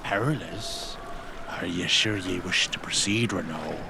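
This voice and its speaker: Gravelly undead warrior general. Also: male voice, sits low, measured pace, noisy background. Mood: grim, wary. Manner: dry, old-fashioned diction. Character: gruff undead warrior general, loyal, dryly sardonic. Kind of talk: fantasy audio drama acting.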